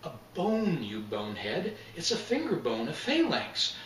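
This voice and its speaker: A man reading aloud, voicing a sharp, teasing woman who is a bone expert. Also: deep voice, huge pitch swings, measured pace, a little hiss or hum, full character voice. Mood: matter-of-fact. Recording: roomy echo, distant mic.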